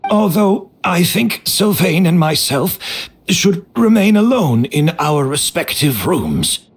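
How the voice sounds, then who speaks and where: A calm, gentle man, speaking slowly with a husky tone. His pitch moves freely, yet his delivery stays measured and composed, like a game cutscene figure. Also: heavily acted. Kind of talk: video game voice acting.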